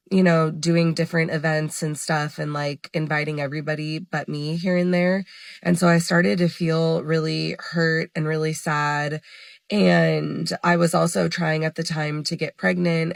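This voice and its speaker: A woman in her thirties tells her own story casually, her voice stuffed up and congested. Her tone is heavily gravelly with constant fry, and her pitch stays flat as she rambles along with "like" and "you know". The recording is dry, with no room sound. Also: no room sound. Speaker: former hairdresser sharing her story.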